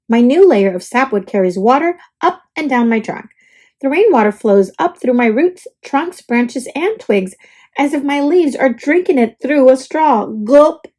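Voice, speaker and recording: A woman's voice: a little high, darkish tone. A woman voicing a perky, dramatic young oak tree telling its storybook diary, with theatrical exclamations. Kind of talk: storybook diary read-aloud.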